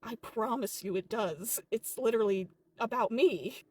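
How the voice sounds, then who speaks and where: A woman's voice: on the high side, unhurried, darkish tone, husky. A woman playing an exasperated, defensive sandwich shop employee in a comedy skit, argumentative and incredulous.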